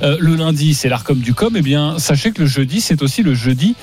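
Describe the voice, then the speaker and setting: Radio host guy rattling off the show lineup, kinda high voice, soft music under it. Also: expressive, breathy edge. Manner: brisk announcing, chatty, laughs easily.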